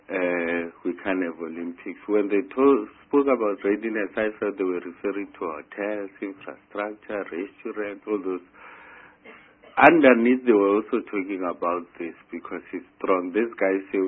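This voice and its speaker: A man speaks haltingly in a group meeting discussion, searching for his words. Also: low voice, slightly rough. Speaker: meeting participant. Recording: narrow phone-like sound.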